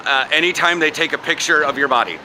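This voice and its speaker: A man's voice: on the high side, fast. A young man from the United States chatting casually and rambling for a vlog, laughing often, recorded outdoors on city streets and in a car with a handheld camera. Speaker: vlogger. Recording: clear traffic noise outdoors.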